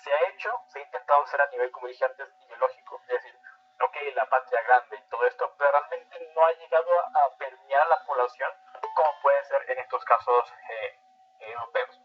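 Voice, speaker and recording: A man's voice: medium pitch, a little fast, highs cut off, faint music behind. A man lecturing informally as an instructor, hesitant and often restating his phrases. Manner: hesitant, repetitive delivery, often restating phrases and checking understanding. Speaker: instructor of a political training class. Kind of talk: informal lecture explaining political integration.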